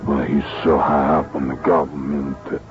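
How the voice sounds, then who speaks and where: An actor playing a chatty, cagey music hall boss in an old-time radio drama, talking in a halting way. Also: male voice, rumbling low, flat delivery. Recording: dull lo-fi old radio recording, music behind.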